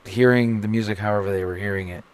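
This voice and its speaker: Man, co-host, fairly low voice, enthusiastic, affirming, casual swearing. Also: steady tone, quick pace, clear, resonant tone. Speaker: podcast co-host. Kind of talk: casual conversational interview.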